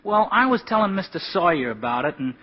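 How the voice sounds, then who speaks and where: An actor playing a naive, good-hearted, downcast young working-class fellow in a 1940s radio drama, simple and hesitant in speech. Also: male voice, high-pitched, sing-song, fairly quick, slightly rough, heavily acted. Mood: glum, naive. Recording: muffled 1940s radio broadcast.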